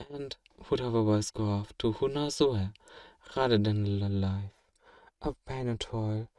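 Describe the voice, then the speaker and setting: A man playing a kind, courteous vampire gentleman in an ASMR roleplay, speaking gently and reassuringly to the listener in an old-fashioned, genteel way. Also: lowish voice, no echo, studio quality, close to an everyday voice. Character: kind, wealthy, genteel male vampire owner, soothing and possessive.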